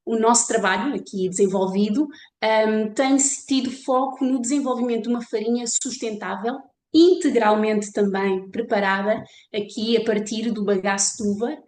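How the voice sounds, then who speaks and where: A woman professor with a European Portuguese accent gives a formal, measured academic presentation. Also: fairly high pitch, a little mellow, echoey room, a little hiss or hum. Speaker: professor and researcher. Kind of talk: online conference presentation.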